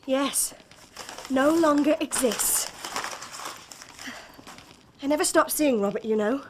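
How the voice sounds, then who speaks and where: An actress playing a quiet, secretive young woman in a period drama, soft and confiding. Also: high voice, slow pace, background noise.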